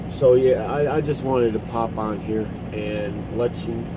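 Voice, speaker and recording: A middle-aged man, a truck driver, talking casually to his phone camera from the cab of his parked truck, rambling and halting as he explains. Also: lowish voice. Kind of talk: casual vlog commentary. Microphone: phone camera at arm's length. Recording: phone recording in cab with engine noise.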